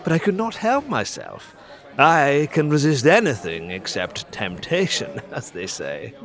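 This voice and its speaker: Frail fussy suitor, wildly swooping voice. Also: male voice, medium pitch, big pitch swings, unhurried, a bit bright, soft background music. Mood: fussy self-pity. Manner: fussy, genteel, self-pitying. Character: frail, fussy, stooped thin hypochondriac suitor, Sir Charles. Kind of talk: farcical audio drama acting.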